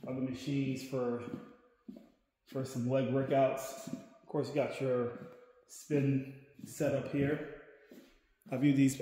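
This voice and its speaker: A man in his thirties talks casually to a camera held at arm's length, wandering through a large indoor gym. His voice sits at a middling pitch, and he rambles, often restarting phrases. The room is roomy and echoey, with a long reverb trailing each line. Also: darkish tone. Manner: rambling, repeats himself while walking around. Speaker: fitness vlogger. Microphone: handheld camera at arm's length.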